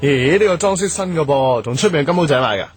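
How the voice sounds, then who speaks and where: Cheeky, teasing Hong Kong boyfriend. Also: male voice, a little high, animated, quick pace, breathy edge, no echo. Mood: nagging, teasing. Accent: Hong Kong Cantonese. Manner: glib, playful, teasing. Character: cheeky, teasing man, roguish but devoted boyfriend. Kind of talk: radio drama acting. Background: background music.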